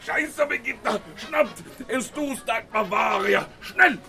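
An actor playing a flustered, excitable army major in a comic radio drama. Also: male voice, very high voice, creaky, faint music behind, heavily acted. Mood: barking. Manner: barking, excitable, mixes in German.

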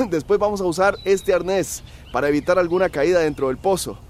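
An actor playing a practical, knowledgeable village well-digger in a radio drama, explaining matter-of-factly. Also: male voice, sits high, moderate pace, close to an everyday voice. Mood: confident, instructive. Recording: faint background noise.

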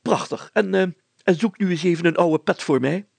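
A man voicing an excitable, stubborn, self-satisfied small-town mayor with a blustery manner in a story. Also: high voice, normal speed, creaky, slightly rough, dry recording, pitched above the performer's own voice, full character voice. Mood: delighted.

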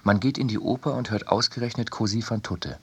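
A man reading a scripted literary essay for radio in a measured, deliberate way. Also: fairly low pitch, slightly rough. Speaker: reader in a radio production.

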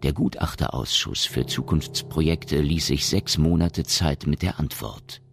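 An actor as a solemn storyteller, narrating a science fiction radio play in a measured, literary way. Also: male voice, very deep voice, creaky, a bit bright, exaggerated voice. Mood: solemn narration. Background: music.

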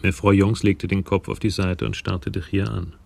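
Deep, very gravelly male crime narrator. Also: little pitch movement, moderate pace, a little mellow. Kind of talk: scripted crime story narration.

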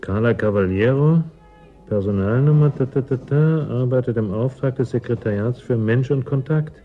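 A man's voice: mid-range voice, moderate pace, phone-quality sound, close to an everyday voice. An actor playing a blunt, distrustful working man in a radio drama, curt and sardonic in manner. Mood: probing. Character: gruff, suspicious, blunt working man of a frozen settlement. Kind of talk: German radio play acting.

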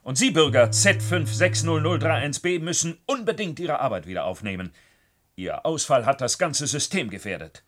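An actor playing a stern, reprimanding control engineer in a science fiction radio drama. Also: male voice, on the high side, big pitch swings, moderate pace, fairly bright tone. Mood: stern, commanding. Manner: bossy, reprimanding, official. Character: stern male control engineer scolding subordinates in dystopia.

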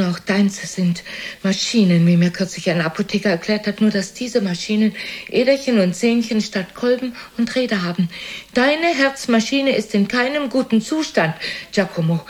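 An actress playing a cool, unsentimental old mother with a husky voice and a dry, teasing, blunt manner in a German radio play. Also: mid-range voice, lively intonation, normal speed, lightly acted. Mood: mocking, blunt.

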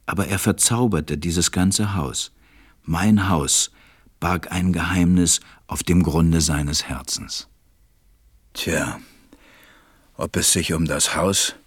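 A man acting a reflective, tender pilot who narrates a radio play in a measured, gentle way. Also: sits low, breathy edge, full character voice. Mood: pensive. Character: reflective adult male pilot, tender storyteller.

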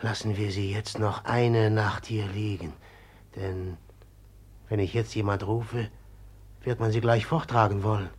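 An actor in a German radio drama playing a brooding, submissive killer who speaks haltingly in a hushed, repetitive way. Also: male voice, lowish voice, fairly even intonation, slightly rough. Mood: subdued, haunted. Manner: halting, hushed, repeating himself. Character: brooding, eerily submissive man who has killed a woman.

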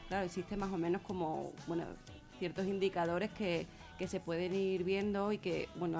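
A woman's voice: fairly low pitch, darkish tone. A woman with an accent from Spain explains things in a radio discussion, earnestly and with frequent rephrasing. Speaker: guest speaker on gender violence.

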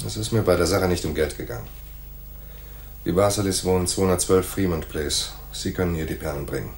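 A man's voice: deep voice, a little fast, a little mellow, a little hiss or hum. A man playing a laconic hardboiled private detective in a radio noir drama, dry and matter-of-fact. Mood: dry, firm. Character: laconic hardboiled male private detective narrating his case. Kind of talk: 1980s radio noir narration and dialogue.

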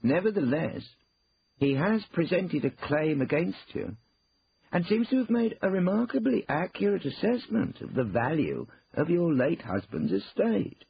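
A man voicing a stern, disapproving senior lawyer in an audiobook, formal, deliberate and pompous. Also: fairly high pitch, big pitch swings, vocal fry, slightly rough, muffled recording, pitched above the performer's own voice, exaggerated voice. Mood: grave, reproving. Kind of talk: acted audiobook dialogue.

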